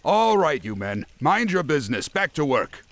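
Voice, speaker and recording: A man's voice: fairly high pitch, big pitch swings, moderate pace, fairly bright tone, dry recording. An actor playing a brusque human soldier bossing his men around in a video game. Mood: bossy. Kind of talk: video game cutscene acting.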